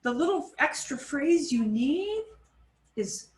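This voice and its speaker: A woman teaching a Spanish class over a video call, switching between Spanish and English and repeating phrases slowly. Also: high-pitched, very animated, reverberant. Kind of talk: online class instruction.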